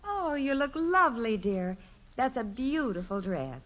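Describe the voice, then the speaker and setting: A woman playing a patient, long-suffering middle-aged wife and mother in a 1950s radio sitcom, gently placating and wry. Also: on the high side, big pitch swings, measured pace. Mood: wry, gentle. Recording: dull 1950s radio broadcast recording.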